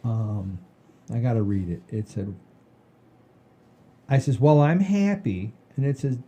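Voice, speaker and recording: A man's voice: mid-range voice, lively intonation, a little slow, mellow, lo-fi sound. A man hosting a comedy podcast, chatting casually and telling anecdotes in a rambling, repetitive, folksy way. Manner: rambling, repeats himself, folksy "I says".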